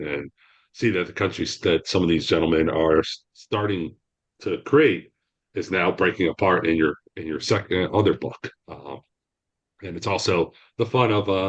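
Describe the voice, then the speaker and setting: A man talking as a guest author on a podcast in a rambling, halting way, with frequent restarts. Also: low voice. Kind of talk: casual interview answers.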